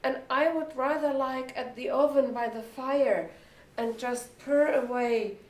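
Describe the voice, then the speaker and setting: A woman's voice: high voice, measured pace, darkish tone, reverberant, recorded from a distance, poor audio. A woman voicing a miserable old female cat, toothless and aggrieved, in a storybook telling. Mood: miserable, whiny. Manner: plaintive, aggrieved.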